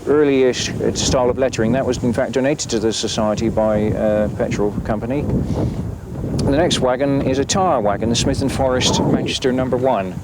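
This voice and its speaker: A man guiding visitors past old railway wagons outdoors, explaining haltingly with many pauses. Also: medium pitch. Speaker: guide at a railway preservation site. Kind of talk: guided tour explanation. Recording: loud outdoor noise on old film. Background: engine and wind noise.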